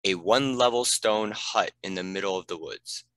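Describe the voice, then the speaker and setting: A man's voice: low voice, normal speed, a bit bright, no room sound. A man giving scripted narration, reading out the stage directions of a screenplay.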